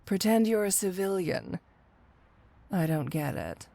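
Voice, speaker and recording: A woman's voice: medium pitch, expressive, vocal fry, mellow. A woman playing a flirty, teasing supervillain cat burglar in an ASMR roleplay audio drama, with a coy, seductive delivery. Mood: teasing, probing. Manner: coy, teasing, drawn-out delivery with rhetorical tag questions.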